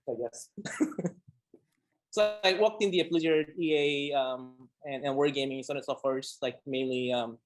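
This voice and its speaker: A man who speaks English as a second language, introducing himself at a remote panel, halting and full of fillers and restarts. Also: fairly high pitch. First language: Korean. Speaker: game company executive.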